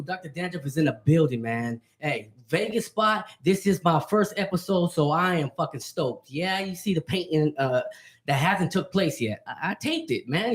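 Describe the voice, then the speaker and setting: A man hosting a podcast interview, hyped and slangy, swearing freely. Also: on the high side. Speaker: podcast host. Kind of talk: casual interview chat. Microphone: handheld mic. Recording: echoey room.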